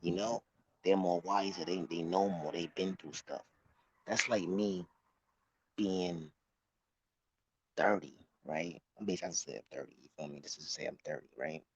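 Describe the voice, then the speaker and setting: A man of about thirty giving casual, slangy street commentary in a halting, repetitive way, recorded outdoors. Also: low-pitched, breathy edge. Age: late 20s, about thirty. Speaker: vlog host. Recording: muffled, noisy outdoor recording with clicks.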